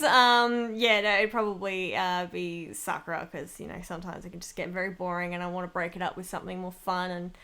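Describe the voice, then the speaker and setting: A woman's voice: mid-range voice, a bit bright, clean tone. A woman speaking casually as an interviewed voice actor, in a giggly, informal, rambling way.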